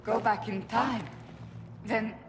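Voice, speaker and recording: A woman's voice: fairly high pitch, steady tone, slow, big-room sound, background noise. A woman playing a devout, grief-stricken captive warrior in a fantasy game, solemn and intense. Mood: grave. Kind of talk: dramatic fantasy game dialogue.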